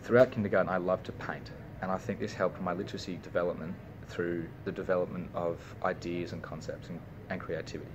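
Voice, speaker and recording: A man's voice: sits low, noisy background. A young Australian man, a university student, reading a prepared personal speech with small slips and restarts. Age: young adult.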